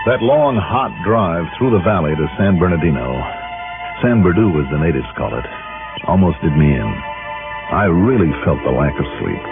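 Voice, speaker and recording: A man's voice: low voice, very animated, moderate pace. A man acting a weary, wry American insurance investigator in a 1950s radio detective drama, dry and skeptical. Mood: reflective narration. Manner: dry, sardonic. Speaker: radio actor playing the lead. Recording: muffled old radio broadcast, loud music.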